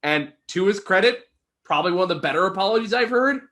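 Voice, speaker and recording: A man's voice: high voice, lively intonation, quick pace, a bit bright. Casual, rambling man chatting about movies. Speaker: movie reviewer, co-host. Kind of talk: casual unscripted chat.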